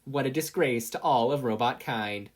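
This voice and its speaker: Villainous battle robot, done by a story reader: taunting, menacing, husky, contemptuous of humans. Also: mid-range voice, normal speed, lightly acted.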